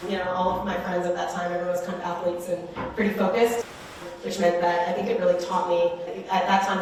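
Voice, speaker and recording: Guest, woman, thirties, from Western Canada, quick filler-heavy chat, lowish voice, far-off and echoey live recording. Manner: chatty, many fillers like "like" and "you know". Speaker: guest, startup co-founder. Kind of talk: casual interview talk.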